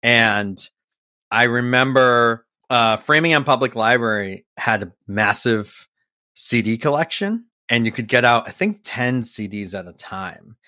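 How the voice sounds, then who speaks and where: A man's voice: mid-range voice, lively intonation, measured pace, sharp tone, no room sound, studio quality. A man from Massachusetts, a guest musician on a podcast, telling stories in a rambling casual way full of fillers and restarts.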